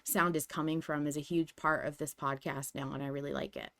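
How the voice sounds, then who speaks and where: A woman's voice: deep voice, lively intonation, a little fast, fairly bright tone. A woman co-hosting a podcast in a casual, conversational fan chat. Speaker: podcast co-host.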